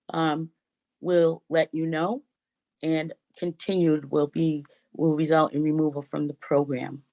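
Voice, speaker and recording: Woman reading a formal welcome. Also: low voice. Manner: measured, reads prepared remarks with small slips. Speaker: forum moderator.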